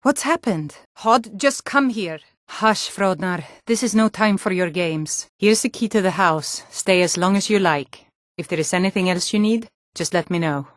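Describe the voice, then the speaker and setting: A woman's voice: medium pitch, moderate pace, no room sound, clean studio sound, lightly acted. A woman playing an earnest, protective Nord townswoman in a fantasy video game, with a plain-spoken, emphatic delivery. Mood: surprised, then concerned, welcoming. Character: earnest, protective Nord townswoman, no longer young, loyal to rebels.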